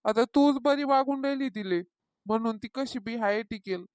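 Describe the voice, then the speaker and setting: A woman's voice: fairly high pitch, moderate pace, a little mellow, clean tone, no echo, lightly acted. A woman voicing a meddling, insistent older village gossip in a cartoon comedy, with a rural Maharashtrian accent. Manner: insistent, tattling. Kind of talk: Marathi cartoon comedy dialogue.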